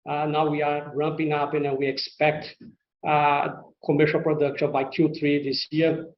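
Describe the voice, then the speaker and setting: A man who speaks English as a second language, presenting company results to investors as an executive, in a halting, hesitant way with repeated words. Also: on the high side, level tone. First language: not English. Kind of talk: semi-scripted earnings call presentation to investors. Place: conference call line in a roomy space. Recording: roomy, muffled conference-call audio with faint noise.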